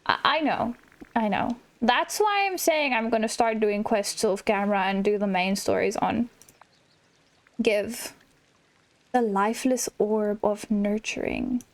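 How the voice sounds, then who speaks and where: A woman hosting a gaming video, chatting casually and slowly as she reacts to the game aloud. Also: mid-range voice. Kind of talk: casual let's play commentary with dialogue read aloud.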